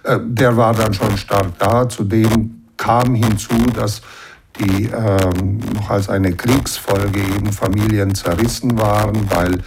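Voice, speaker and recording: A middle-aged man from western Romania's Banat region speaks in a fairly low voice, working through his answers patiently and explaining as he goes. Ums and ers thread through his sentences. A steady hiss of noise sits under the recording. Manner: measured, explanatory, with frequent fillers. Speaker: interview guest. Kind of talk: reflective interview answers.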